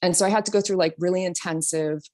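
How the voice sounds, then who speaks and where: A woman in her thirties from New York talks through her story as an interview guest. Her voice sits low, and she fills pauses with ums and soft laughs. It comes across as easy, chatty conversation. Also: fairly even intonation. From New York City. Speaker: guest, singer and voice teacher. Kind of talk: casual interview talk.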